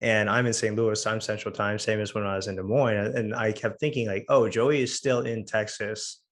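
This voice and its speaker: A young man with a hoarse voice hosting a casual podcast interview, talking in halting bursts with many fillers and restarts. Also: on the low side, fairly even intonation. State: hoarse from losing his voice. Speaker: podcast host.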